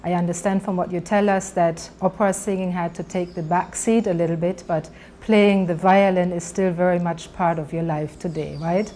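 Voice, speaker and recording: A woman interviewer putting prepared questions to a guest in a measured, formal way. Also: lowish voice, steady tone, mellow, slight background noise. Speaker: interviewer, TV host.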